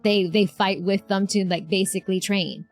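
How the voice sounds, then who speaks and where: A woman's voice: mid-range voice, steady tone, moderate pace. A woman streaming casually, chatting and thinking aloud as she reacts to a video game. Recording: game music behind the voice.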